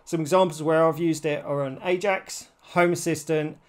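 Tech tutorial presenter: male, forties, voice a little on the high side, informal instructional delivery. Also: steady tone, moderate pace. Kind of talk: casual step-by-step screen tutorial.

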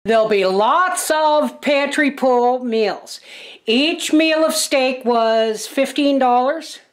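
A woman's voice: sits high, slow. An older woman, giving a casual, plain-spoken, folksy vlog narration in her home kitchen. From Canada. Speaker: homesteading vlogger and host.

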